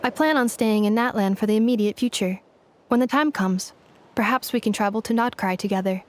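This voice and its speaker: A woman voicing a calm, dutiful android woman in a game, speaking in an even, formal, machine-like way. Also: mid-range voice, brisk, slight background noise, close to an everyday voice. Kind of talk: game dialogue.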